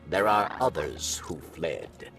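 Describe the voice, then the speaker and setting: A man playing a solemn, wary alien exile in a video game, with a deep, gravelly voice and a slow, halting, grave delivery. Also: big pitch swings, recorded from a distance, over music, exaggerated voice. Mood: sorrowful, resolute. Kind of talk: dramatic video game cutscene acting.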